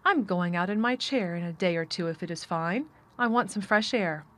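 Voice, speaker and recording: A woman's voice: on the low side, moderate pace, a bit bright, close to an everyday voice. A woman voicing an imperious, spoiled young invalid boy in a storybook, speaking in a grand, haughty manner. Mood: lordly. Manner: haughty, magnificent, declarative.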